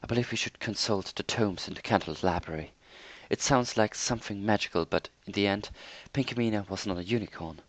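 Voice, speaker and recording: A man voicing a regal night princess pony who speaks in a formal, measured way. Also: lowish voice, dry recording, close to an everyday voice. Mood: grave.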